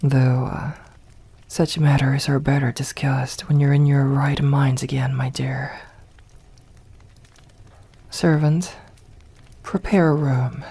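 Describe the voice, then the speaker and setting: Vampire mistress played by a woman for ASMR roleplay: very breathy and whispery, unhurried, refined, seductive and possessive. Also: very deep voice, steady tone, mellow, exaggerated voice. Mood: intrigued, possessive. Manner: slow, formal, coaxing endearments.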